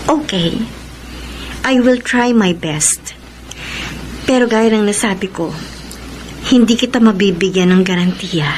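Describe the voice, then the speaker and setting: A woman acting a gentle but guarded young Filipina in a radio drama, measured and matter-of-fact, slipping in English phrases. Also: medium pitch, close to an everyday voice. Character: Cheska, guarded, gentle young woman, reluctant pretend girlfriend. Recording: noisy radio drama with ambience.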